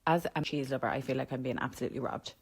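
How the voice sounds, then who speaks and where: A woman's voice: extremely low pitch, breathy edge. A woman from Ireland narrating a vlog with wry, mock-outraged commentary. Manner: quick, wry, mock-outraged about prices. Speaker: vlogger.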